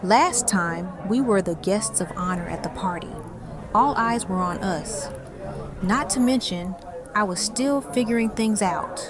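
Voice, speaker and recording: An actress playing a guarded, thoughtful, reserved woman with a measured manner in an audio drama. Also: medium pitch, over music, close to an everyday voice. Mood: guarded, reflective. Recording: crowd chatter behind.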